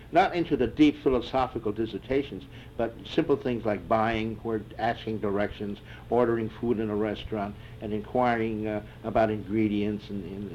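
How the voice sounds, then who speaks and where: An older man in an oral history interview, answering reflectively and haltingly with many fillers and restarts. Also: on the low side, darkish tone. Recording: dull lo-fi tape with faint hiss.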